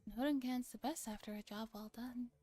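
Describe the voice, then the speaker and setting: A woman streamer reading a visual novel aloud in a soft, relaxed ASMR style, sometimes slipping into a whisper, with casual chat. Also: a little high, brisk. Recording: music playing behind the voice. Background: music.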